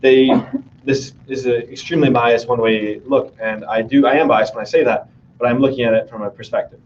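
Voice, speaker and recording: A young man from New Jersey speaks earnestly to a student meeting, weighing his words with small pauses. He sounds distant from the microphone, and the recording is a little dull. A faint hiss sits under his voice. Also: mid-range voice, steady tone. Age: young adult. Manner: earnest, measured, slightly halting. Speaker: student senate candidate. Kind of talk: speech to a meeting. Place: meeting room. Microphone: room mic from afar.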